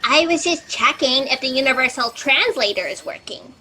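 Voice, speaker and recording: A woman's voice: high-pitched, lively intonation, moderate pace, sharp tone. A woman playing a chirpy, overeager fact-checking office assistant in a perky, theatrical style for a comic sci-fi audio drama. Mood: eager, officious. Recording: noisy video-call audio.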